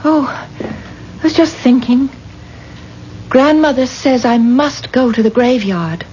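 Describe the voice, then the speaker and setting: High-voiced, haunted young bride, noisy recording. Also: female voice, slow pace, a little mellow. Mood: yearning. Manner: earnest, pleading, theatrical. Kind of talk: 1970s radio drama acting. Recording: muffled, noisy old radio broadcast.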